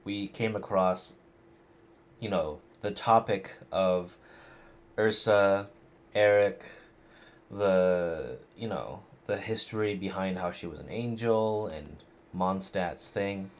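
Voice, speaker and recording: A man's voice: low voice, slow. A man giving casual live playthrough commentary, rambling and hesitant, with plenty of slang. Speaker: game streamer.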